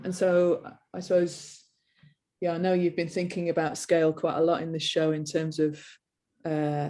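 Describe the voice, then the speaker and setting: Woman host, flat even voice, hesitant questions, casual. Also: deep voice. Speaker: interviewer. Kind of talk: casual conversation.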